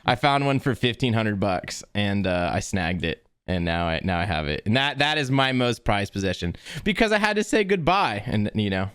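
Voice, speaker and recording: A man's voice: fairly low pitch, sing-song, moderate pace, hardly any pauses, a bit bright, clean tone, no echo, clean studio sound. A man in his twenties telling personal stories in casual, slangy chat, often laughing as he talks. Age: 20s. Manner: laughs while talking, informal slang.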